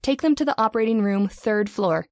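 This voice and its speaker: Female actor as switchboard operator, efficient, clipped, businesslike, middle pitch, dry sound, radio drama. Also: expressive, a little fast, no room sound, lightly acted. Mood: brisk, businesslike. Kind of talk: old-time radio drama acting.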